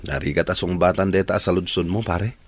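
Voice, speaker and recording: A man's voice: deep voice, brisk, husky. A man playing an easygoing, placating grown son and boyfriend in a radio drama, with a Filipino accent.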